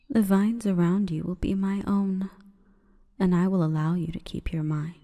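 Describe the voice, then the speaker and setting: A woman voicing a seductive, solemn female plant spirit in a breathy, formal, commanding whisper for an ASMR roleplay. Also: lowish voice, mellow. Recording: long reverberant echo.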